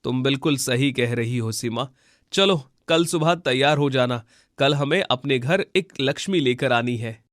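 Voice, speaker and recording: A man voicing a poor, humble village labourer husband in a cartoon story, earnest and gentle. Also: mid-range voice, unhurried, no echo, studio quality, lightly acted. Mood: agreeable, earnest. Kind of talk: Hindi cartoon moral-story dubbing.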